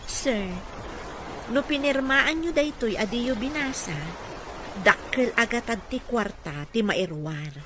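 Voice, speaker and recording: A woman's voice: a little high, lively intonation, slow. Dutiful ilocano caregiver in a radio drama, takes her time, noisy background. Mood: respectful, concerned. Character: dutiful, encouraging young woman caregiver to a wheelchair-bound boss. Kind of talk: Filipino radio comedy-drama acting.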